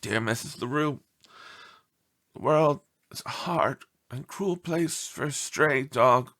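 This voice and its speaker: A man voicing a self-pitying, melodramatic pet dog in a storybook, with theatrical, mournful delivery and breathy sighs. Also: mid-range voice, slow pace, fairly bright tone. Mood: suffering, dramatic. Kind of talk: storybook read-aloud character.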